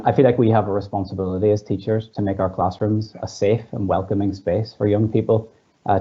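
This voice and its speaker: A male teacher speaks earnestly on a panel, often starting his sentences with "uh". His voice is very deep. The sound is muffled and lo-fi. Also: slightly rough. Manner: earnest, with frequent "uh" fillers. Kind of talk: panel contribution.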